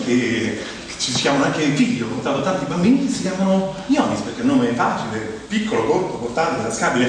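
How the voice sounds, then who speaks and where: A man from Rome with a Roman accent, delivering a comic autobiographical stage monologue in a conversational, exasperated manner. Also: medium pitch. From Rome, Italy. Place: live stage performance in a theatre. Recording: echoey theatre hall, distant mic, noisy.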